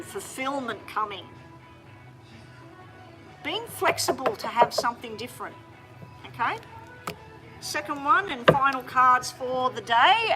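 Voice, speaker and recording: A woman doing a live-streamed tarot reading, talking straight to viewers in a chatty, hurried way. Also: a little high, rough recording. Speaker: tarot reader.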